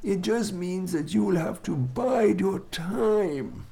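A man acting an officious, smooth-talking vicar who is polite and evasive in a comedy audio drama. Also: high voice, lively intonation, takes it slowly, mellow, full character voice. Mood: officious, apologetic.

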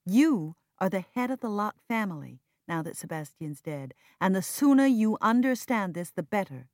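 A woman's voice: mid-range voice, animated, moderate pace, darkish tone, no room sound, clean studio sound, voice pushed up, close to an everyday voice. A woman narrator playing an earnest, strong-willed and loyal woman in mourning, emphatic and reproachful, in an audiobook drama. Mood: firm, reproachful.

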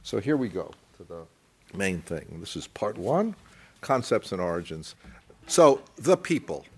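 A man giving an academic lecture, halting and discursive, circling back with stammered restarts and putting deliberate stress on key phrases. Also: low voice, very animated, darkish tone, husky.

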